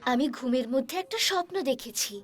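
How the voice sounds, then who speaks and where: A woman voicing a young, chatty newlywed village wife in a dramatised horror story, girlish and expressive. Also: sits high, brisk.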